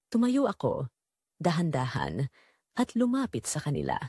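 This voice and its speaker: A woman narrating as a guilt-ridden, secretly longing mother in a scripted audio drama, with a measured, confessional delivery. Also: low-pitched, wildly swooping pitch, darkish tone, slightly rough, no room sound, studio quality, exaggerated voice. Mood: longing, resolved.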